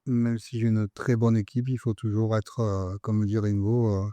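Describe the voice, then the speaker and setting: A man, the guest head chef, talking in a relaxed, hesitant way in casual chat. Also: fairly low pitch, measured pace, no room sound.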